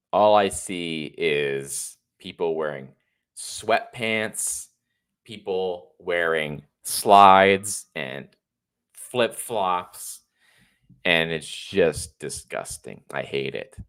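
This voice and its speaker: Brief: man in his 30s, livestream host, stuffy and congested, deep voice, rambling opinions. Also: smooth. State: congested and a little tired. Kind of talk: casual solo livestream monologue.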